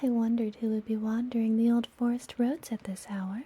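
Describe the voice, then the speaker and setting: A woman playing a friendly, mysterious witch and healer in an ASMR fantasy roleplay, whispering softly and gently with a teasing manner. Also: on the high side, flat delivery. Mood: welcoming, concerned. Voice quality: soft, breathy whisper. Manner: gentle, slow, playfully teasing.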